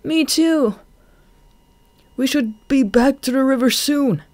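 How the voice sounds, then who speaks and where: A narrator voicing a young female bat who calls out calmly and practically. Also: high voice, slow, no echo, voice pushed up. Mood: urgent. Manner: calling out over wind.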